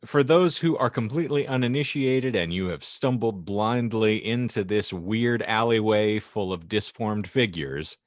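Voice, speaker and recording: A man's voice: lowish voice, a little slow, hardly any pauses, no echo, studio quality. An American man hosting a video podcast in a jokey, wordy, playful mock-formal style. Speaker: podcast host.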